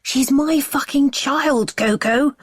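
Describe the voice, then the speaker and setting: A woman reading the part of a furious, controlling man who snarls his words slowly and with scorn. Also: on the low side, expressive, husky, no room sound, clean studio sound. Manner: snarling, slow and menacing.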